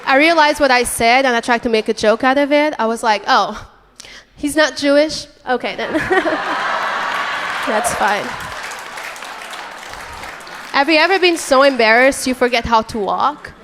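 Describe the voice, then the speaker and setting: A woman's voice: high voice, fairly bright tone, a little hiss or hum. A young woman from Portugal, a native Portuguese speaker, doing a crude, brash stand-up set on a club stage into a handheld mic. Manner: brash, crude punchlines with quick crowd asides. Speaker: stand-up comedian. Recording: live club recording with long reverb. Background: audience laughter and chatter.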